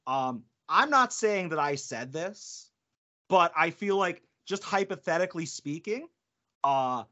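A man co-hosting a podcast, telling an animated story in casual chat and laughing between lines. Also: fairly high pitch, unhurried. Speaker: podcast co-host. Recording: dull lo-fi podcast audio.

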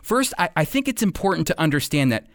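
Animated man teaching on radio, dry studio sound. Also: on the high side, fast talker, dry recording. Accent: American. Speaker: radio program host and Bible teacher. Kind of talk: scripted radio Bible teaching and commentary.

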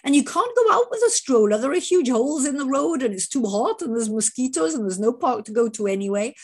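A woman in her fifties hosts an interview podcast. She talks in an animated way, often restarting her sentences, and her pitch swings widely as she goes. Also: a little fast, husky. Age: 50s. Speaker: podcast host. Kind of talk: conversational interview.

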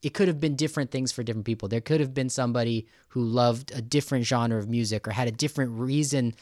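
A man's voice: mid-range voice, fast talker, darkish tone, no room sound, clean studio sound. A man hosting a casual conversational interview, talking in a rambling, enthusiastic and self-deprecating way. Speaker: radio show host.

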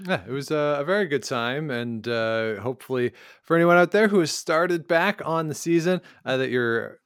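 Canadian guy chatting sports as a podcast host, clean smooth voice, really dry close sound. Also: a little high, moderate pace, fairly bright tone, no echo. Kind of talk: casual sports talk with results recaps.

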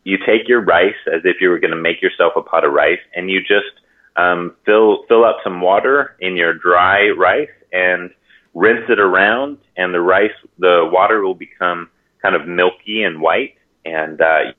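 Man from Hawaii, extremely deep voice, narrow phone-like sound, casual explainer, halting delivery. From Hawaii, United States. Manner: halting, frequent pauses mid-sentence. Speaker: interview guest, natural farming expert. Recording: narrow tinny phone-like line.

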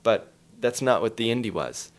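A man's voice: fairly low pitch, expressive, normal speed. A man speaking as an interview guest, explaining things in an emphatic, storytelling way with acted-out quotes.

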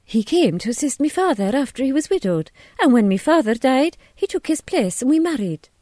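A woman's voice: sits high, big pitch swings, fairly quick, mellow, dry recording, clean studio sound, voice pushed up. A woman voicing a prim, indignant young country wife in an audiobook story, speaking emphatically. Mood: guarded, proud.

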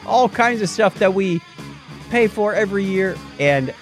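Male podcast host, casual and jokey, highly animated intonation, slightly high register, music underneath. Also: a little slow. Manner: jokey, teasing. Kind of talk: casual podcast chat with patron thank-yous.

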